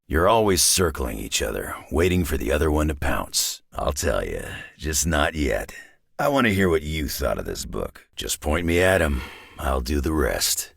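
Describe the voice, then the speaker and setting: A battle-hardened, clawed loner of a superhero for a video game, with an extremely low, raspy voice. He has a North American accent and a gruff, wry way with tough-guy slang. His delivery swings in pitch, and the sound is close and dry. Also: big pitch swings, moderate pace, fairly bright tone, no echo, heavily acted. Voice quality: very deep, gravelly, raspy growl. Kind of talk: video game character voice lines.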